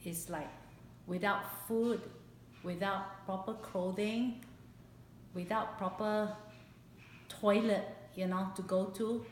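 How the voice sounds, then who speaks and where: A woman in her late fifties with a Singaporean accent recalls her life in a personal interview, speaking haltingly and repeating phrases for emphasis. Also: mid-range voice, fairly even intonation. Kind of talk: personal oral history interview. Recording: echoey room, background hiss.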